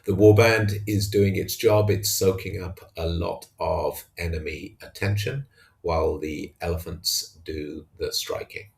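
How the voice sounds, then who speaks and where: A man giving an unscripted recap of a tabletop wargame in a hesitant, halting way. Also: sits low, steady tone, gravelly. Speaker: tabletop wargamer narrating own battle report. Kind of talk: unscripted match recap commentary.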